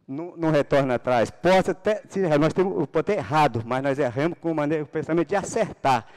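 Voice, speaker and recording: A man's voice: a little high, a little mellow. A middle-aged man from Paraná, Brazil, a city councilman giving a fervent, religious political speech on a handheld microphone in a large council chamber. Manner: fervent, religious, rising to emphatic bursts.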